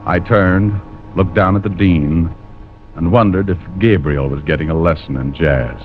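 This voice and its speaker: Tough american private eye, low wry voice, muffled old radio show with music under. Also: male voice, measured pace. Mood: grim, wry. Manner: wry, hardboiled, clipped. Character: tough, cynical male private detective. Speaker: lead actor and narrator. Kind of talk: 1940s-50s radio drama acting, hardboiled narration.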